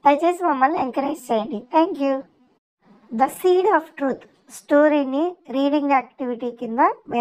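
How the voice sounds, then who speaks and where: A woman's voice: high voice, darkish tone, dry recording, rough recording. A woman presenting and narrating a story as a schoolteacher would, in a scripted storytelling style. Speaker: teacher presenting and narrating a children's school skit.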